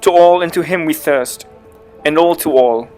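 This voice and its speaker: A man's voice: on the high side, moderate pace, mellow, faint music behind, lightly acted. An actor playing a guilt-haunted, raving king in a dramatic reading of Shakespeare. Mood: forced cheer.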